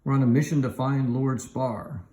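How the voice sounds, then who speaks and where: A man's voice: medium pitch, normal speed, warm tone, roomy sound, voice pushed up, close to an everyday voice. A man reading a children's storybook, voicing a cheerful, loyal spider troll. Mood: chirpy.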